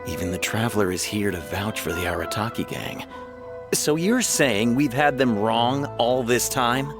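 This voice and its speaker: A dutiful town officer from a video game. He has a fairly low voice and a cautious, slightly hesitant way of talking that hints at a soft heart. Faint music sits behind him. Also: expressive, moderate pace. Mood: pondering, doubtful.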